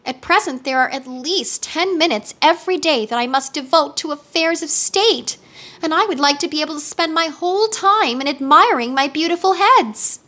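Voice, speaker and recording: A vain, self-absorbed storybook princess , acted out by a woman. She is imperious and dramatic, with a haughty temper and a high, sharp voice. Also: lively intonation, normal speed, full character voice. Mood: eager.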